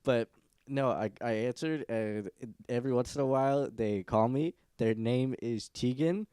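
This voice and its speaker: A man's voice: medium pitch, unhurried, mellow, clear, resonant tone, no room sound, clean studio sound. A man in his twenties co-hosting a podcast in casual, rambling chat, with a nasal voice, swearing and laughing often. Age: 20s. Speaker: podcast co-host.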